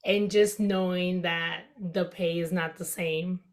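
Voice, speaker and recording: A woman's voice: on the low side, fairly even intonation, slow pace. A woman from the Dominican Republic with a Dominican accent, a podcast guest speaking candidly and reflectively in a casual conversation. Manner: candid, reflective, with many "you know" fillers.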